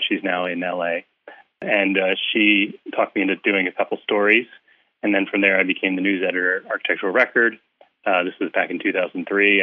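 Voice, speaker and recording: A guest author from the Philadelphia area chatting in an interview, hesitant with lots of uhs but friendly. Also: male voice, deep voice, no echo. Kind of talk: casual interview chat. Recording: tinny phone-like line, dry.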